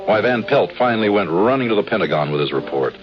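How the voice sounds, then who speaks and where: Male actor as crisp, polite lieutenant colonel, narrator of a 1950s radio play. Expressive range, muffled vintage broadcast. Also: lowish voice, fast, soft background music, natural voice. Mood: dry, measured. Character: firm, courteous male army lieutenant colonel telling his story.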